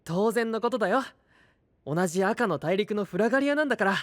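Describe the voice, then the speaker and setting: A man's voice: sits high, expressive, fairly quick, dry recording. A man playing a kind, dependable young knight leader in an audio drama, speaking sincerely. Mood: warm, encouraging. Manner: sincere, clear, encouraging. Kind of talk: anime-style audio drama acting.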